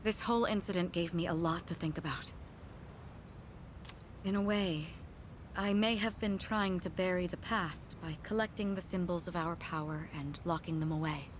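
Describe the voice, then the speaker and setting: A woman playing a composed, formal young leader in a video game, speaking in a measured, authoritative manner. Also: fairly low pitch, steady tone, a little hiss or hum, close to an everyday voice. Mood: composed, resolute. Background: game music.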